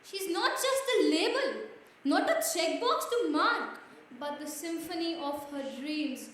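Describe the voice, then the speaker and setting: High-pitched woman reciting slam poetry, very echoey. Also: expressive, measured pace, a bit bright. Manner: dramatic, declamatory, with repeated phrases for emphasis. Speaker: slam poet. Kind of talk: performed spoken-word poetry recital. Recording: very echoey room, mic far off.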